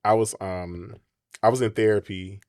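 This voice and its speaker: A man hosting a relaxed interview, sounding supportive and enthusiastic. He speaks casually, with plenty of everyday slang. His pitch and delivery stay close to an ordinary conversational voice. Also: normal speed, smooth. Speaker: interview host.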